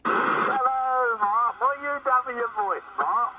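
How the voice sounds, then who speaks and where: A man's voice: extremely high pitch, measured pace. A man bantering casually over CB radio, with a teasing manner. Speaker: CB radio operator. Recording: distant, muffled CB radio with loud static.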